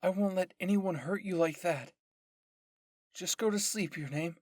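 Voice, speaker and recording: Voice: medium pitch, measured pace, darkish tone, dry recording, studio quality, voice pushed up, lightly acted. Performer voicing an anguished, pleading teenage boy soldier. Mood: tender pleading. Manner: urgent, emotional pleading.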